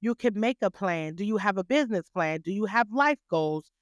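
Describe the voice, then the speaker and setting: A woman's voice: medium pitch, lively intonation, moderate pace, no room sound, studio quality. A woman hosting a podcast, giving a motivational talk full of rhetorical questions and repeated phrases, with a voice she calls graceful yet stern. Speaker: podcast host.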